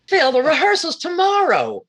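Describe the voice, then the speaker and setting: A woman playing a teasing, wisecracking sidekick in 1940s radio comedy style, with sassy, deadpan quips. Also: high-pitched, normal speed. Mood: wry.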